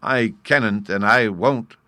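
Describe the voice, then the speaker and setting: A man reading aloud, voicing a bright, earnest little fairy girl in a storybook. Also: fairly low pitch, animated, normal speed, no echo, clean studio sound. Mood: lively.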